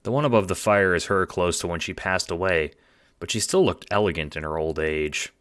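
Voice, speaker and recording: Male narrator, audio drama: low, bright and forceful, wry dramatic storyteller. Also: a little fast. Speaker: narrator and producer. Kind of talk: scripted second-person audio drama narration.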